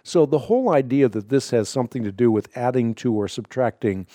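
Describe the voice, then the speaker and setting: Dutch-born guy hosting call-in radio, mellow voice, dry close sound, lectures precisely. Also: medium pitch, lively intonation, brisk, dry recording. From Netherlands. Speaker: radio call-in host answering Bible questions.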